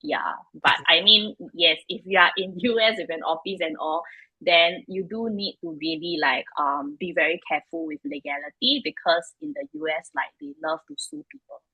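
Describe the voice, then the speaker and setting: Woman, Singaporean English accent, 30s, founder guest, frank, chatty, low pitch, dry close sound. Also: expressive, moderate pace, gravelly, pushed and bright, husky, no echo, dull audio. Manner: frank, chatty, many fillers like "like" and "you know". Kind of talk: casual interview answers.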